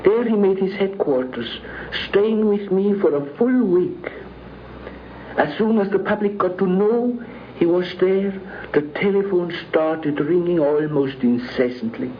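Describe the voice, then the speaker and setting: A man's voice: high voice, unhurried. A man giving a prepared first-person account of his memories on a 1950s radio program. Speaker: guest recounting memories. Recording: dull, noisy 1950s radio recording.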